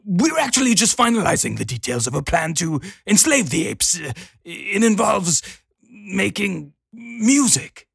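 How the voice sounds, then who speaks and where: Sucky-up lizard minion, scheming, croaky, swoopy. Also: male voice, high voice, big pitch swings, a little slow, a bit bright, no echo, clean studio sound, voice pushed up, heavily acted. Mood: placating, shifty. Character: obsequious scheming reptile minion, cartoon creature.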